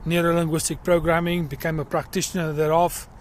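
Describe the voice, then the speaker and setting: A middle-aged man with a South African accent, talking earnestly about himself in a personal vlog while out walking in a field, with many pauses and fillers. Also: fairly high pitch, level tone, slight background noise. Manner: earnest and reflective, pausing with fillers. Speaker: crowdfunding campaigner. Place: outdoors in a field.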